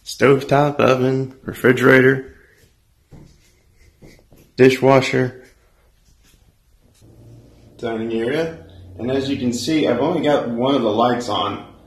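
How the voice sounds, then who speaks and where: Man in his twenties, American accent, gravelly fry, informal vlogger delivery, recorded on a phone in empty rooms. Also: mid-range voice, steady tone, slow, pauses often. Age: 20s. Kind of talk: casual vlog tour narration. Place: empty apartment rooms indoors. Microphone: handheld phone at arm's length.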